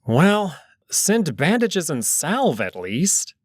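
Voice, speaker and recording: A man voicing a smug, composed jackal nobleman with a formal, measured way of talking. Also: fairly high pitch, big pitch swings, no echo, clean studio sound, exaggerated voice. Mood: resigned.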